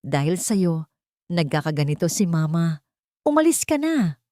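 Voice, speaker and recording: A woman's voice: fairly low pitch, very animated, unhurried, mellow, dry recording, clean studio sound. A woman voicing a hostile, protective adult sibling in a story, blunt and accusing.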